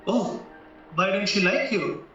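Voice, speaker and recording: Man acting a shrewd, high-voiced lawyer, very echoey. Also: bright, forceful tone, heavily acted. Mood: serious, deliberate. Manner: formal, deliberate, questioning. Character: shrewd, probing male defence lawyer, formal and measured. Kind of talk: stage-style courtroom audio drama acting. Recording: very echoey, distant, dull, music behind.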